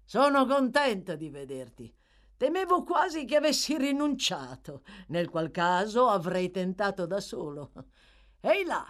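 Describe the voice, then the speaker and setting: A woman voicing a loud, hoarse, boisterous male burglar in an audiobook, shouting orders with mocking politeness and jovial menace. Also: mid-range voice, big pitch swings, measured pace. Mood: hearty, surprised. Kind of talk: audiobook character voice.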